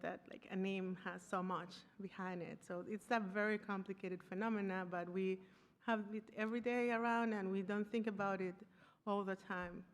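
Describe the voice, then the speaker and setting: A woman from Costa Rica giving a conference talk, often stopping to restart her phrases and searching for words. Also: medium pitch. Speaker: conference speaker. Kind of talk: conference presentation. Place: conference room. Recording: live talk with long hall reverb.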